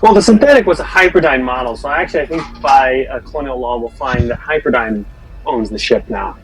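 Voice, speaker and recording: Man playing a shrewd mid-pitched company rep. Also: animated, fairly quick, soft background music, lightly acted, poor audio. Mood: argumentative. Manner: argumentative. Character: shrewd male corporate representative in space. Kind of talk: tabletop game role-play.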